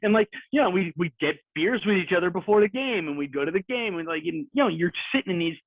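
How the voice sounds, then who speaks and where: Animated man on a tinny line. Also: sits high, quick pace, dry recording. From Central New Jersey. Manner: animated storyteller, swears casually. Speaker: podcast guest. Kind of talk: casual chat. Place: home room. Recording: tinny phone-like line, dry.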